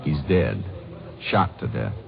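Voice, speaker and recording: A determined Texas Ranger in a vintage radio crime drama. His voice is very deep and he talks tersely and matter-of-factly. The sound is dull and old. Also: sing-song, takes it slowly, creaky, exaggerated voice. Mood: stern, flat. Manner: terse, laconic, matter-of-fact. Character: calm, stern, determined Texas Ranger investigator. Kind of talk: 1950s radio acting and first-person narration. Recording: muffled old radio recording with music.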